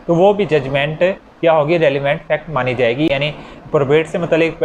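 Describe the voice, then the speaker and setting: A man with a Pakistani accent giving an explanatory law lecture, restating points in simpler words in a didactic way. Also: on the high side. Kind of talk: explanatory lecture with worked examples. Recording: dull lo-fi audio, faint background noise.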